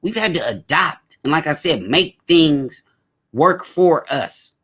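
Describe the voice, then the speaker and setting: A man's voice: on the high side, lively intonation, takes it slowly, fairly bright tone, husky. A man hosting a casual talk show, speaking emphatically and often repeating his points. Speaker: host.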